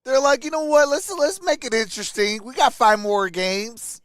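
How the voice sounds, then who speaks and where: High-pitched man hosting a casual sports stream. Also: moderate pace, a bit bright, no room sound, studio quality. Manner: reads out and answers viewer chat. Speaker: sports livestream host. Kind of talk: casual live play-by-play and chat reactions.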